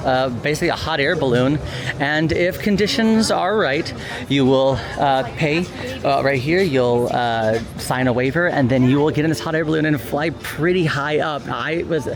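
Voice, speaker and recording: A man's voice: fairly high pitch, normal speed, crowd noise. A man hosting a vlog outdoors, explaining things casually to the camera. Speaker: vlog host. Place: outdoors at a shopping and dining district. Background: music, outdoor ambience.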